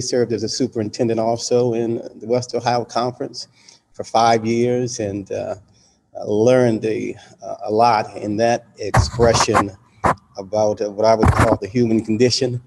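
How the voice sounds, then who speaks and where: A middle-aged male pastor with a lowish voice speaks in a measured, unhurried way. He leans on frequent fillers as he recalls his path into ministry. His tone is casual and personal. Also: creaky, darkish tone. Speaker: senior pastor.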